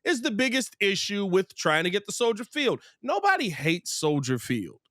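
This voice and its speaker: An American man talks sports as a podcast host in a fairly high voice. He is casual but emphatic, often putting his opinions as rhetorical questions. The sound is very dry, with no room echo. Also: sing-song, normal speed, a bit bright.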